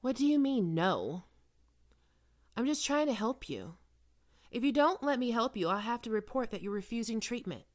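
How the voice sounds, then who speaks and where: A woman playing a probing, smoothly manipulative psychiatrist in an ASMR roleplay, speaking in measured, deliberate phrases. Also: fairly high pitch, big pitch swings. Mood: coercive, menacing. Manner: measured clinical questioning with deliberate pauses. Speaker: voice actor performing a roleplay.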